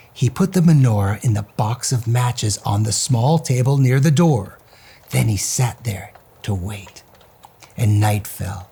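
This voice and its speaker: A man's voice: mid-range voice, creaky, warm tone, breathy edge. A man reading a children's story aloud as host, in a theatrical, suspenseful way.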